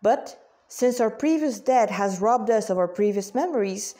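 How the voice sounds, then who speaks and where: A woman's voice: medium pitch, expressive, normal speed, mellow, close to an everyday voice. A woman voicing a devout, wise warrior mother with an earnest, instructive way of talking in a read-aloud story. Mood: solemn, instructive.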